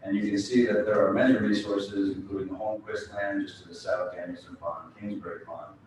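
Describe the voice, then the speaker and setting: A man with a lowish voice presents at a public forum and works through his slides in a measured way. He is recorded off-mic, so his voice rings around a very echoey meeting hall, with faint room hum underneath. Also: level tone, a little mellow, distant mic. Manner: measured, reads slides and fields interruptions. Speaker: school building committee presenter.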